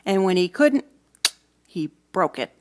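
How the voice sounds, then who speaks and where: A woman reading a storybook aloud for children, with dramatic emphasis and hushed moments. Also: medium pitch, very animated, unhurried. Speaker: storybook reader. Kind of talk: expressive children's read-aloud narration.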